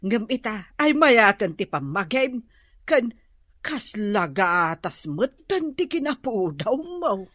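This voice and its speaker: A woman's voice: medium pitch, very animated, slow, fairly bright tone, no room sound, studio quality, exaggerated voice. A woman acting a bossy, wheedling auntie in a radio comedy drama, full of exclamations, with an Ilocano accent.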